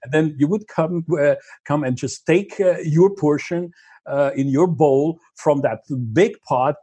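A man from Slovenia, a podcast guest chatting casually, pausing often with fillers and restarts. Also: fairly high pitch, warm tone, slightly rough, dry recording. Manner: hesitant, many fillers and restarts. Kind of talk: casual interview chat.

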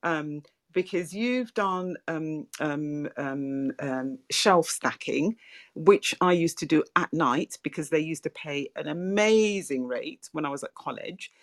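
A middle-aged woman with a soft voice, hosting a casual property chat over a video call, chatty and laughing often. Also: sits low, takes it slowly. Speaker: property podcast host. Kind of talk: casual online interview chat.

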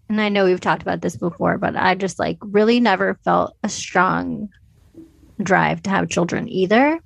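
A woman's voice: lowish voice, vocal fry, dry recording. A woman co-hosting a podcast, discussing films casually in an informal, hedging, conversational way. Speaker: podcast co-host.

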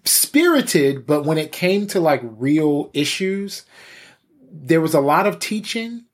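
Husky-voiced man giving candid testimony. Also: fairly high pitch, big pitch swings. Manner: halting, repeats words, frequent "you know". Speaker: pastor and church musician, interview guest. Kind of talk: candid personal testimony in conversation.

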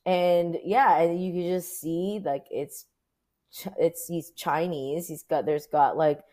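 A woman's voice: lowish voice, steady tone, slow pace, mellow. An American woman being interviewed as a photographer, talking casually and informally, thinking aloud as she goes. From Orange County, United States.

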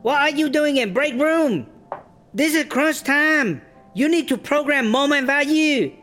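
A woman's voice: sits high, animated, a bit bright, faint music behind. A woman playing a tyrannical foreign boss who mocks and taunts in broken English, in a broad comedy audio drama. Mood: impatient, demanding. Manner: broken English, mocking, drawn-out.

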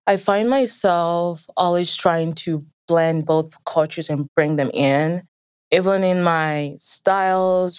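A woman's voice: fairly low pitch, clear, resonant tone, no echo. A young woman from Nigeria and the United States, speaking in an American accent with Nigerian traces as she tells reflective personal stories in an interview. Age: young adult.

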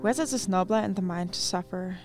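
A woman with a German accent, a native German speaker, reading a classic monologue aloud and chatting on a podcast, gently and with frequent laughter. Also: fairly low pitch, fast talker, over music. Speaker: podcast guest. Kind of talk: casual chat and dramatic reading.

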